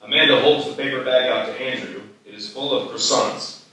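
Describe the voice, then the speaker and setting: Male stage-directions reader, bright forceful tone, scripted narration, distant mic, very roomy echo. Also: medium pitch, a little fast. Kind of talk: scripted narration at live table read. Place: live festival stage reading. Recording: very echoey hall, distant mic.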